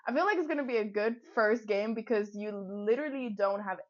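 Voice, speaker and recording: A woman's voice: mid-range voice, fairly quick, lo-fi sound. A woman in her twenties from Finland, co-hosting a podcast in an upbeat, reflective, talkative way. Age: 20s. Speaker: podcast co-host and football player. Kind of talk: casual podcast chat.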